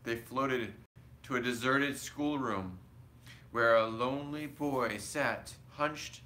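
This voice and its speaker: A man's voice: mid-range voice, slow pace, sharp tone. A man reading a storybook aloud to children expressively, with simple asides explaining pictures and words.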